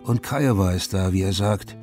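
A man's voice: low voice, moderate pace, a little mellow, lightly acted. An actor playing a reflective, haunted war veteran and writer in his forties, narrating intimately in a radio drama. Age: middle-aged. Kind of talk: German radio drama first-person narration. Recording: studio radio drama over guitar music.

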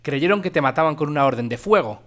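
A man's voice: a little high, expressive, fast talker, a bit bright. A man with a Spanish accent from Spain hosting a radio show, chatting informally and drifting into asides as he presents music. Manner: informal, digressive. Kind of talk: casual chat, presenting music.

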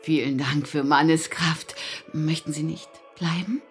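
A woman's voice: low voice, fairly even intonation, unhurried, breathy edge, faint music behind, full character voice. An actress playing a playful woman who speaks in singsong rhymes in a German radio play. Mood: coy. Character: playful, rhyming woman at family Christmas.

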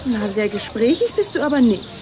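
A woman's voice: fairly high pitch, very animated, brisk, noisy background. A young female performer playing a fussy, vain schoolgirl in a radio play, with an affected, coy, complaining manner. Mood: haughty, complaining. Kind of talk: German radio play acting.

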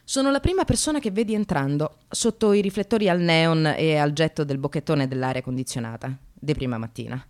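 A woman's voice: sits low, expressive, brisk, few pauses, darkish tone. A woman playing a disillusioned receptionist and mother in a radio drama monologue, sardonic, emphatic and confessional. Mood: weary, distressed. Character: precarious receptionist, disillusioned separated mother, bitterly ironic. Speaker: actress narrating a monologue.

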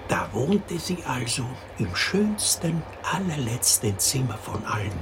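Slow, expressive, creaky-voiced fairy-tale storyteller. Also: medium pitch. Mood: patient storytelling. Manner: slow, patient, expressive, explaining to a child. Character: storyteller telling a child a princess fairy tale. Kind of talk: radio drama fairy-tale telling. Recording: noticeable background noise.

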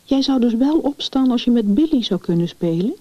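A woman reading the part of a gentle, patient painter uncle in a story, coaxing a child with questions. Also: fairly high pitch, animated, a little fast, no echo, pitched above the performer's own voice. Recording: dull, muffled lo-fi recording.

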